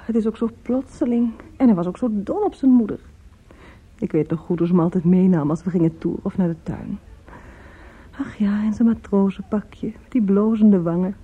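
A woman's voice: mid-range voice, breathy edge. A woman playing a sentimental, gossipy middle-aged condolence visitor in a radio drama, sighing and sympathetic. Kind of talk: Dutch radio drama acting. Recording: thin, narrow-band old radio recording.

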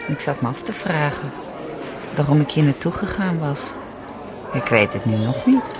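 An actress playing a guarded woman fresh from a breakup, hesitant and at times sharp, in a naturalistic radio drama. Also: deep voice, sing-song, moderate pace, noisy background.

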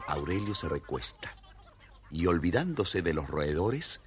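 Husky, expressive male storyteller. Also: low-pitched. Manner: expressive, dramatic pauses. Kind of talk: theatrical scripted narration of a children's tale.

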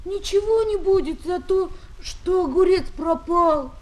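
A woman playing a naive, pleading little boy in a children's audio drama, with a piping, halting, earnest voice. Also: extremely high pitch. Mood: tearful. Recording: noisy old 1970s flexi-disc recording.

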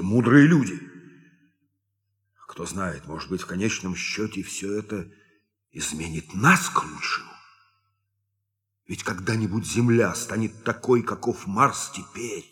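An actor in a radio drama playing an idealistic, authoritative spaceship captain with a deliberate, emphatic delivery. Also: male voice, low voice, fairly bright tone. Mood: earnest, hopeful. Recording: reverberant hall-like studio radio play.

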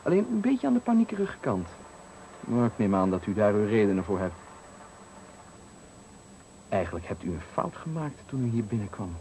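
An actor in a Dutch radio play playing a taunting, menacing stranger who speaks with deliberate, needling politeness in a Netherlands accent. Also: male voice, on the low side, big pitch swings, dark tone. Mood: mock-polite menace. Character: menacing, taunting male stranger cornering a man at night. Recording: faintly noisy 1980 radio recording.

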